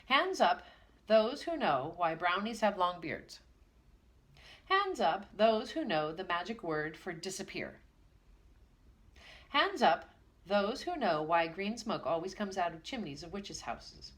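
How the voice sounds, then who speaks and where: A woman's voice: fairly low pitch, very animated, slow, exaggerated voice. A woman voicing a stern, blustering male schoolmaster who barks orders at his class in a storybook. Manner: loud, barking, pompous.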